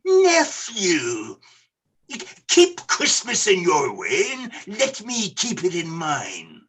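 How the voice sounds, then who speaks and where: An actor in a radio play playing a bitter, miserly old businessman with a raspy, curt, scornful delivery. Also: high-pitched, huge pitch swings, slow pace, fairly bright tone, heavily acted. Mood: scornful, irritated. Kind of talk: theatrical radio play acting.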